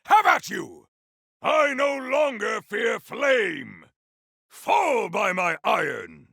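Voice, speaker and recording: Game hero: grandiose, vengeful elemental warrior, very gravelly and forceful, theatrical archaic combat lines. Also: male voice, high voice, very animated, drawn-out words, no echo, clean studio sound, full character voice. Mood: fierce, bombastic. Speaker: voice actor for a video game character. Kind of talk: video game combat barks.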